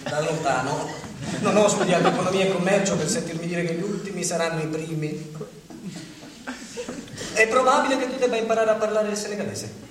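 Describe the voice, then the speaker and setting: A man's voice: high voice, brisk, long pauses, close to an everyday voice. A man playing a jittery office manager. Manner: nervous, defensive, jittery laughs. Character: anxious male office manager fearing layoffs. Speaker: actor in a stage play. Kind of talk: theatre acting recorded for radio. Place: theatre stage. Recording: echoey stage from far off, noisy.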